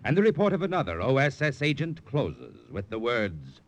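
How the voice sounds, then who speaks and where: A man's voice: mid-range voice, wildly swooping pitch, moderate pace. An American man delivering dramatic scripted narration as the announcer of a 1950s radio show.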